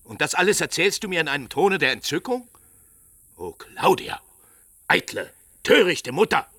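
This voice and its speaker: Stern hot-tempered patriarch, radio drama, vehement delivery, forceful edge, very dry close sound. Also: male voice, a little high, very animated, normal speed, no echo, exaggerated voice. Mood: suspicious, furious. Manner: declamatory, vehement. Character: stern, proud, hot-tempered father of a bride. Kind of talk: 1950s German radio drama acting.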